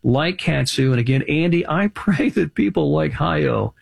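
A man's voice: on the high side, expressive, unhurried, gravelly, slightly rough, dry recording. A man hosting a radio show, telling an earnest story and tagging his points with "right?". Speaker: radio host. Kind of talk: radio appeal conversation. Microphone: studio microphone. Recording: dry radio studio.

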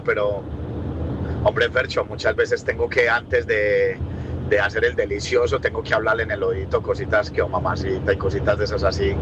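A man's voice: fairly low pitch, highs cut off. Playful paisa Colombian man, noisy background. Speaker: listener sending a voice message. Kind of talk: casual chat.

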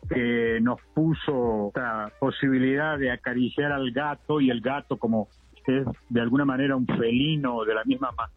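An older man from Argentina talks in a reflective, measured way, often pausing and restarting his sentences. He is a puppeteer being interviewed on the radio. His voice comes through a thin, tinny phone line with faint music underneath. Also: mid-range voice, fairly even intonation, slightly rough, no room sound. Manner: reflective, measured, with many hesitations. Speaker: guest, puppeteer.

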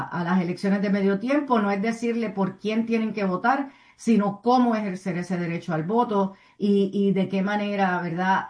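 A woman speaking as a community advocate in an interview, earnest and somewhat halting, often adding tag questions. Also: medium pitch, a little mellow. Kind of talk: interview answers, civic advocacy.

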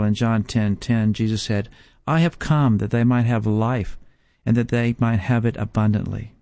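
A man in his fifties giving a sermon as a Bible teacher, speaking slowly and emphatically with repeated rhetorical questions. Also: lowish voice, mellow, dry recording. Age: 50s.

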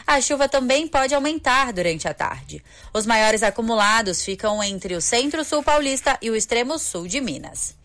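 A woman with a Brazilian accent delivers a scripted weather forecast. Her voice sits a little high, and her reading stays steady and even throughout. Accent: Brazilian Portuguese. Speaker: weather reporter.

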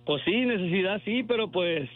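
A man's voice: sits high, expressive, moderate pace. A man from El Paso, Texas, calling into a radio show, hesitant and full of fillers. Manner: hesitant, lots of fillers, shy laughs. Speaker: caller. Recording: phone line, dull lo-fi.

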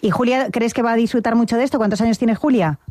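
A woman's voice: a little high, fast talker, a little mellow, no room sound. A woman hosting a live radio show the studio, friendly and playful with her callers. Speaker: radio host.

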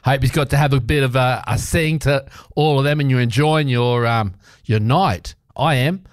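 An Australian man hosts a radio show in a medium-pitched voice. He chats informally and rambles, with a joking streak. The sound is dry, with hardly any room around him. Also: moderate pace, no room sound. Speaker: radio host and interviewer. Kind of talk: casual radio presenting and interview chat.

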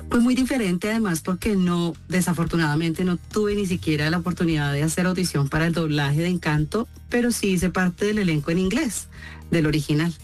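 A Colombian woman, a voice actress, answering interview questions in an expressive storytelling way. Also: fairly low pitch, brisk, soft background music. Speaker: voice actress guest.

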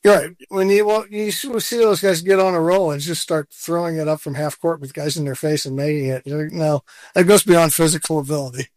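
A man in his sixties chats as an interview guest, candid and self-deprecating, laughing now and then. His voice sits a little high for a man. The recording is dry and close, with no room sound. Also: fairly even intonation, a little fast, darkish tone, dry recording. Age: 60s. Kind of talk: casual interview chat.

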